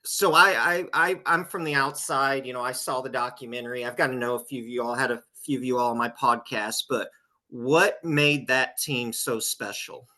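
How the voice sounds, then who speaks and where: A man's voice: medium pitch, steady tone, moderate pace, pushed and bright. A man politely moderating a casual podcast conversation as co-host. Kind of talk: casual interviewing.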